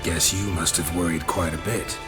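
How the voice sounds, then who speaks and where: A stern, world-weary male monster hunter from a fantasy game, played by a man. His voice is very low and gravelly, and he talks in terse, deadpan phrases. Music plays clearly behind him. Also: moderate pace, lightly acted. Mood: dry. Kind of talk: video game voice acting.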